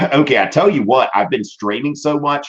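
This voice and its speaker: A man's voice: medium pitch, normal speed, lo-fi sound, a little hiss or hum. A man in his thirties teaching a livestreamed history class from a small room, his voice strained, rambling with fillers and checking in with "okay?". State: voice strained from streaming. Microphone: webcam at desk.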